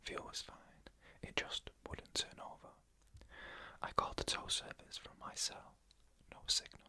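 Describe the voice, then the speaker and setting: A narrator telling scary first-person stories in a soft, hushed, deliberate ASMR whisper.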